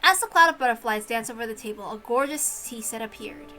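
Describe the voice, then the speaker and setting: High-voiced woman narrating a story. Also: brisk, pushed and bright. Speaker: reader and narrator. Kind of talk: expressive scripted story narration. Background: background music.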